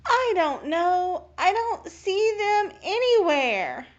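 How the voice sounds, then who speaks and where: Woman as a slow, high-voiced, patient puppet character. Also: big pitch swings, muffled recording, background noise, full character voice. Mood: curious, searching. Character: patient, helpful puppet friend on an ornament quest. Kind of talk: children's puppet show acting.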